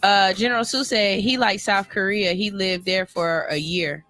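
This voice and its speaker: Female radio co-host from Ohio, sharp bright tone, easygoing and candid, faint music underneath. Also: medium pitch, fairly even intonation, moderate pace. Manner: laid-back, laughing, candid. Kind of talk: casual chat. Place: radio studio. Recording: radio broadcast with music behind.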